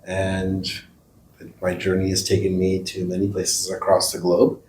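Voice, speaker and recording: A man's voice: low-pitched, monotone, measured pace, noisy background. A man born in India and raised in the United States, a surgeon guest, telling stories in an easygoing way with some fillers. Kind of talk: casual interview chat.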